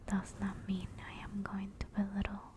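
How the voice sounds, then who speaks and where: A woman playing a gentle, affectionate sleep paralysis demon in a soothing, reassuring whispered ASMR comfort roleplay. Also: mid-range voice, completely flat delivery, background noise, natural voice. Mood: tender, reassuring. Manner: soft, slow, soothing, reassuring.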